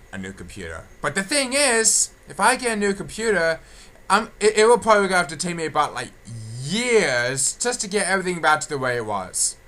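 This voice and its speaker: A young man giving a casual audio update, halting, repetitive and complaining. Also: medium pitch, bright, forceful tone. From England. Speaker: video creator giving channel updates. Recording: clear background noise.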